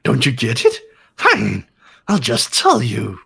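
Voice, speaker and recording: Game villain: sadistic bear mascot, slightly husky, wildly animated, gloating and theatrical. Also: medium pitch, slow pace, heavily acted. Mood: mocking. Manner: taunting, theatrical, swooping. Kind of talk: fan-voiced video game villain acting.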